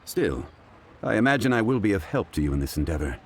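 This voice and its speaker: A man voicing a composed, modest, erudite gentleman in a video game, formal, measured and courteous. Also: fairly low pitch, big pitch swings, slightly rough, full character voice. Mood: calm, amused. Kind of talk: video game dialogue acting.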